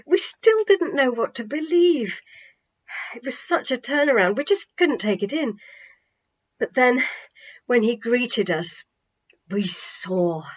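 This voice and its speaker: A woman's voice: a little high, lively intonation, pitched above the performer's own voice. Storybook role: overjoyed devout woman witness, played by a female narrator, rambling and breathless; slightly dull recording. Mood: earnest, excited. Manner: breathless, gushing, halting delivery.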